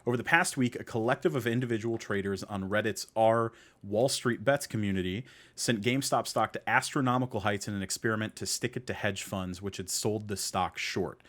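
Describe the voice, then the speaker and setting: A man with a fairly low voice hosts a casual podcast. He speaks conversationally and repeats key points to drive them home. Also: a little fast, a bit bright. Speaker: podcast host.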